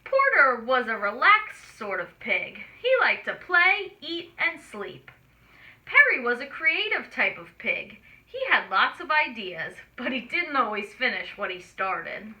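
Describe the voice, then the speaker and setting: A woman storyteller reading aloud to small children, expressive and explaining things simply. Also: fairly high pitch, measured pace. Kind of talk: children's read-aloud narration with asides.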